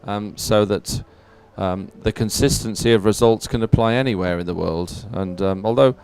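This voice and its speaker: A man's voice: fairly low pitch, moderate pace, a little mellow, clean tone. A man giving live, unscripted sports commentary in a chatty, hesitant, explanatory way.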